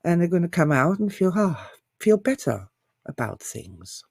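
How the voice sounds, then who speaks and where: A woman's voice: on the low side, very animated, moderate pace, gravelly, a little mellow, slightly rough, dry recording, studio quality. A middle-aged woman giving an informal, enthusiastic talk as an author and teacher, gushing and repeating phrases for emphasis.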